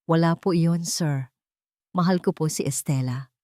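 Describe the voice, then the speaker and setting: A woman's voice: low voice, measured pace, mellow, no echo, clean studio sound. A woman voicing a devoted, polite young housemaid with a Filipino accent in an audio drama. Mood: humble, tender.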